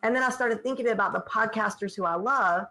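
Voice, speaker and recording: A woman's voice: fairly high pitch, brisk, bright, forceful tone. A woman guest on a podcast who creates online courses, talking in a chatty way with frequent ums and uhs. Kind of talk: podcast interview.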